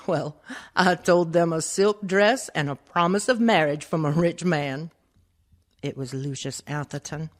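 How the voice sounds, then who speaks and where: A woman as a low-voiced, world-weary fallen woman. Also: a little slow. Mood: weary, confiding. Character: world-weary dead woman of ill repute, frank. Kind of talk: dramatic verse monologue for radio.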